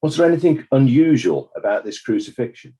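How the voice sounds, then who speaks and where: A man acting as a formal counsel who puts measured, probing questions to a witness in a dramatized inquest. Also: medium pitch, big pitch swings, warm tone, natural voice. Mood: formal, inquiring.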